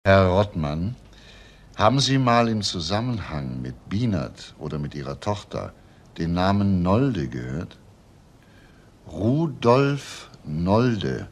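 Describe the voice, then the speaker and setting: Shrewd, probing detective, swinging intonation. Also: male voice, low voice, very animated, exaggerated voice. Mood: inquiring, puzzled. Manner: probing, insistent questioning with pointed pauses. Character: shrewd, probing, provocative male police detective. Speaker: actor. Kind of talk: German TV crime drama acting.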